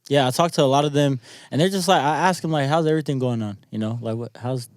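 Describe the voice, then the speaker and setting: A man's voice: mid-range voice, quick pace, dry recording, clean studio sound. A young man born in New Zealand and raised in California, chatting loosely as a podcast guest, dropping "bro" and "man" a lot. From born in New Zealand, grew up in California. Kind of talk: casual chat.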